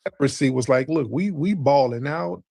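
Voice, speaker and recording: A man's voice: medium pitch, fairly quick, vocal fry, warm tone, dry recording, studio quality. A man hosting a live stream debate, lecturing callers in an insistent, interrupting way. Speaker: stream host.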